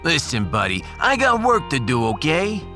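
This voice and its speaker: Gruff New working guy, very animated. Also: on the high side, normal speed. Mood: curt, cold. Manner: folksy, street-tough, calls people buddy. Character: gruff, wounded blue-collar New Jersey man, ship's welder. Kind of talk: sci-fi audiobook character acting. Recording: music behind the voice.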